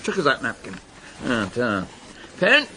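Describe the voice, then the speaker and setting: A man's voice: mid-range voice, wildly swooping pitch, unhurried, pushed and bright, heavily acted. An actor playing a lazy, shameless male economics correspondent in a radio comedy drama. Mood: casual. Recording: slightly distant, noisy ambient background.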